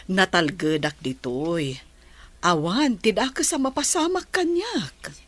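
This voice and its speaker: Babaeng gumaganap na maalalahaning matandang ina. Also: female voice, mid-range voice, expressive, slow. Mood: motherly. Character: older motherly woman, caring and stubborn. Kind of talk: radio drama acting.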